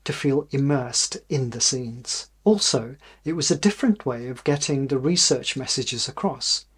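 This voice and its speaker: A middle-aged man with a British accent presents research in a measured way. His voice is mid-pitched with a husky grain, and he explains each point as a lecturer would. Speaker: university professor presenting research.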